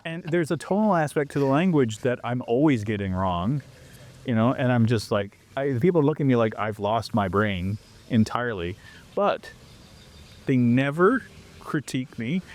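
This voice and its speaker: Man, mid-pitched, animated guest telling stories in a casual interview. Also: moderate pace. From Canada. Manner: animated storyteller who acts out quoted exchanges. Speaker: interview guest, foreigner living abroad.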